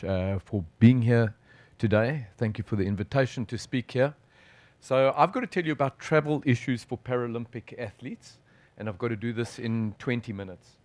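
A man's voice: medium pitch, no room sound. A middle-aged South African man, a sports physician, lecturing at a conference from a lectern with conversational, emphatic delivery. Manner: conversational, animated with gestures, emphatic pauses. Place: conference hall stage at a lectern. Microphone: lectern microphone.

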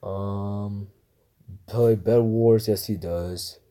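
A young man reading guessing-game questions aloud and answering them in a low-key, mumbled way, recorded on a phone. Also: fairly even intonation, drawn-out words, dark tone. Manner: mumbled, low-key answers, livelier when starting a new round. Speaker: gamer playing a guessing game. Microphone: phone screen recorder.

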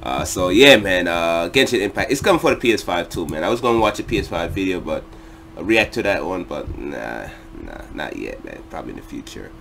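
A man's voice: low-pitched, animated, fairly quick, gravelly, fairly bright tone, a little hiss or hum. A young man hosting a casual reaction video in a slangy, laid-back way from a small room. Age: young adult.

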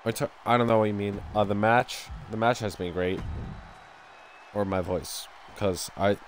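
A man's voice: fairly low pitch, moderate pace, a little hiss or hum. Stuffy-nosed young man calling a wrestling game. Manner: excitable, bursts of loud exclamations. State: stuffy nose. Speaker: gameplay commentator. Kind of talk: excited play-by-play over wrestling game.

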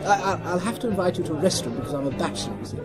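A man's voice: high-pitched, very fast, over loud music, over a noisy crowd, natural voice. An actor playing an eager, hesitant Belgian businessman in a radio drama, ingratiating and stammering. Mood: probing, nervous. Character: genial, nervously eager Belgian businessman living in Germany.

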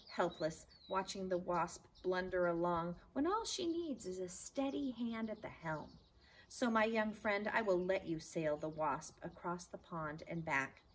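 A woman's voice: lowish voice, close to an everyday voice. A woman voicing a blustery, proud grown man who owns a toy schooner, in an emphatic, bossy way, in a children's storybook. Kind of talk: children's storybook read-aloud. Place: outdoors in a backyard garden. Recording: outdoor ambient noise.

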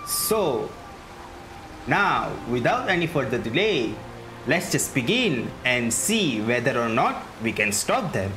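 A man doing casual playthrough commentary as a gaming streamer, halting as he thinks aloud. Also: medium pitch, big pitch swings, noisy background. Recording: game music behind voice. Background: video game music.

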